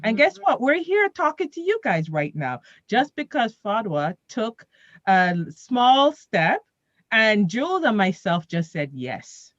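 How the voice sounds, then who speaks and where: A woman's voice: fairly high pitch, slow pace, no room sound, lo-fi sound. Upbeat motivational woman on radio. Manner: motivational, animated, repeats "right?" and "guess what?". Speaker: radio co-host. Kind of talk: casual radio chat.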